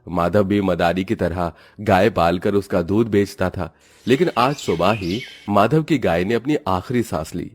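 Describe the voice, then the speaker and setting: A man narrating a cartoon moral story in a steady, dramatic storytelling style. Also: low voice, measured pace.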